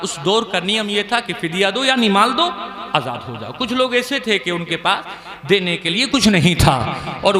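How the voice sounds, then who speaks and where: Man preaching, very high voice, impassioned, echoing hall, crowd behind. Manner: rising to impassioned shouts, addresses crowd. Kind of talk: Islamic sermon. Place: large gathering.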